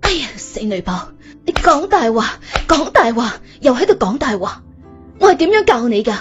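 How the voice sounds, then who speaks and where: Woman acting a high-voiced, fretful mother. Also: very animated, normal speed, muffled recording, faint music behind. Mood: furious scolding. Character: anxious, short-tempered young mother, scolding. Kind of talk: scripted audio drama dialogue.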